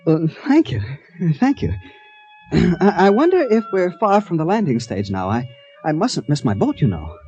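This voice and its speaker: A well-mannered, unsure man on the run in a radio drama. His mellow voice is halting and polite, swinging dramatically up and down in pitch. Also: very animated, lo-fi sound, soft background music. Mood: bashful, tender.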